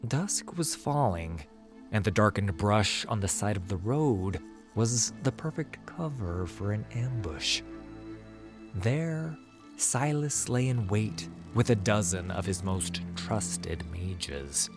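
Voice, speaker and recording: A man's voice: on the low side, animated, slow, music in the background. A man narrating a scripted fantasy story as a storyteller.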